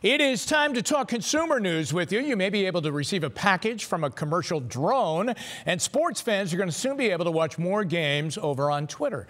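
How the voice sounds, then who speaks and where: A man's voice: high voice, very animated, a little fast, a bit bright. A man with an American accent reading scripted news as a TV anchor in a studio.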